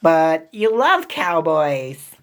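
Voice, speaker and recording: A woman voicing an eager, caring young female pig from a children's picture book, with expressive, drawn-out delivery. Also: low voice, voice pushed down, exaggerated voice. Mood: hesitant, earnest.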